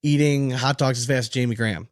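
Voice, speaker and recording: A man's voice: mid-range voice, fairly even intonation, fast talker, no room sound, studio quality. A man co-hosting a podcast in casual, joking chat, with slangy, exclaiming bro-like talk. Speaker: podcast co-host.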